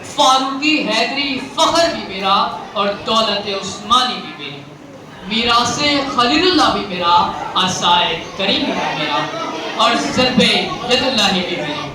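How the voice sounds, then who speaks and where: A student orator with a Pakistani accent declaims poetry and rhetoric with theatrical fire. The voice rings through heavy echo and sits far from the microphone. Clear background noise runs through the recording. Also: medium pitch, lively intonation, takes it slowly. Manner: theatrical, rhetorical, with repeated phrases for emphasis. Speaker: student speech contestant. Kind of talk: fiery declamatory Urdu speech with poetry. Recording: very echoey, distant mic, noisy venue recording.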